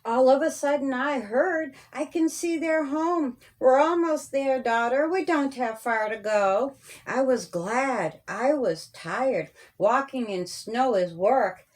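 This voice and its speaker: A woman's voice: sits high, takes it slowly, a little mellow. A woman reading her own children's story aloud in rhyming verse, with a sing-song cadence.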